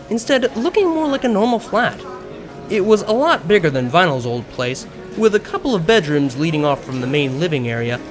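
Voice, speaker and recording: A man reading scripted fiction as an expressive narrator, audiobook style. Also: high-pitched. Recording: music behind the voice.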